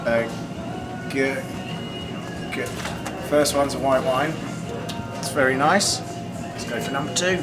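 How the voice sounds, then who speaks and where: A relaxed man chats jokily to the camera, now and then stumbling on a word. Loud music plays under his voice throughout. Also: mid-range voice, takes it slowly, long pauses. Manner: relaxed, jokey, stumbling over words. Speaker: band member tasting wines on tour. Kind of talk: casual vlog chat.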